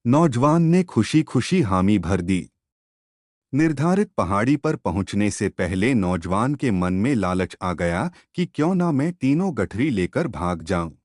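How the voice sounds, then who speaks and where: A man reads a moral story as a narrator, with animated rises and falls in his voice. He takes his time over each phrase in an expressive, measured way. The sound is close and dry. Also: fairly low pitch, no echo.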